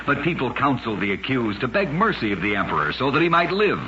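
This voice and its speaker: Dull lo-fi radio recording, male narrator, animated pitch, dramatic announcer delivery, scripted storytelling, faint noise. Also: fast.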